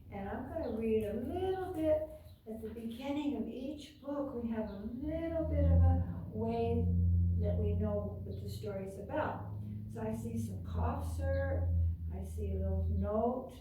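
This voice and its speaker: An American woman reads a storybook to small children in a high, expressive voice. She takes her time and slips in simple asides to her listeners. The sound is distant and very echoey, picked up across a bare room. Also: noisy background. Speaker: children's librarian and storytime host. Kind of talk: reading a picture book aloud to young children, with chatty asides. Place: indoors, bare carpeted library room with painted wall. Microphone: camera several metres away.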